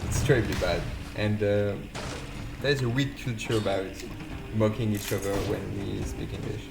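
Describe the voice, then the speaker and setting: A man from France with a French accent, a game developer chatting casually and haltingly during a live stream while playing. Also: fairly low pitch, steady tone, vocal fry. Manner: halting, self-deprecating, restarts phrases. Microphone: voice call. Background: game music.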